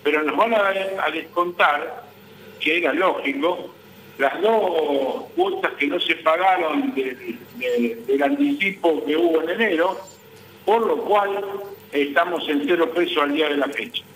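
Argentine male interviewee, high and sharp-edged, insistent spokesperson delivery, roomy call sound. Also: measured pace, slight background noise. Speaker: guest, transport business association vice president. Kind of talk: live news interview by phone.

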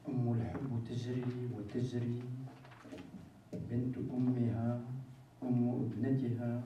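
Brief: man, rhythmic declamatory poetry reading, very dark mellow tone, roomy hall. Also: medium pitch, slight background noise. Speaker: poetry reader. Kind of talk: stage poetry recitation. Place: theatre stage with red curtain. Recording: echoey hall from far off.

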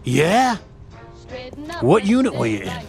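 Excitable, creaky-voiced American gun dealer. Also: male voice, a little high, takes it slowly, over music, full character voice. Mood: amazed. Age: middle-aged. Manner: excitable, coarse, salesman-like. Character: gruff, chatty one-eyed war veteran gun dealer. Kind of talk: video game cutscene acting.

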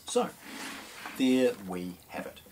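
A middle-aged man with a New Zealand accent presenting a product unboxing in a measured, explanatory way. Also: medium pitch, huge pitch swings, background noise. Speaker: audio shop presenter. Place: indoor shop demo room with shelves and speakers.

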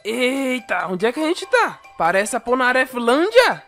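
A performer voicing a brash, goofy, excitable young man in a comic anime parody dub. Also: high voice, unhurried, heavily acted. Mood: carefree. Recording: music under the voice.

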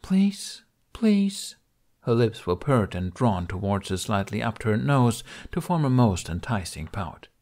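A man reading a story as an audiobook narrator, in an expressive scripted style. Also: fairly low pitch, normal speed, hardly any pauses.

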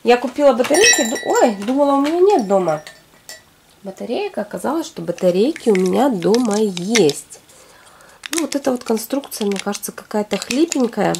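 A woman in her thirties from Russia, hoarse from a cold, chatting casually through a shopping haul at home. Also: a little high, sing-song, normal speed, noisy background. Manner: chatty, rambling, talks to herself. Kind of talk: casual product haul chat. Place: home, recording products on a fluffy rug.

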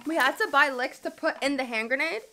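A woman's voice: sits high, fairly quick, background noise. A young woman vlogging casually on a trip, giddy and chatty, filling her talk with "like" and "literally". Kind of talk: casual travel vlog.

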